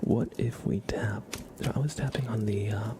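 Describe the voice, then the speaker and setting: An ASMR livestream host whispering casually to viewers in a soft-spoken, unhurried way. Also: mid-range voice, background noise.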